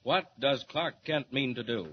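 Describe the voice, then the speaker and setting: An actor playing an excited, dramatic announcer narrating a 1940s radio serial. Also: male voice, mid-range voice, unhurried, lightly acted. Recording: muffled noisy 1940s radio recording.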